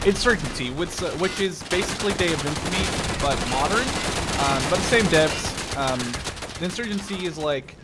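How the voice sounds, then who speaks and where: A man in his twenties giving casual gameplay commentary, excitable and rambling, with frequent swearing. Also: high-pitched. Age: 20s. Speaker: video game streamer. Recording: gunfire and game noise behind voice. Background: video game gunfire.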